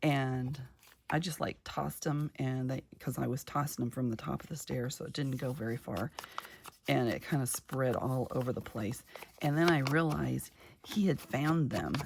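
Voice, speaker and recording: A middle-aged woman chatting casually while crafting, her voice a little gravelly from just waking up, rambling warmly from one story to the next. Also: a bass voice, background noise. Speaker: craft vlogger.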